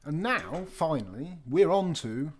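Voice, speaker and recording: Children's story reader: lively, swooping intonation, medium pitch, informal asides. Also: a little slow. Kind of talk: animated read-aloud storytelling with chatty asides.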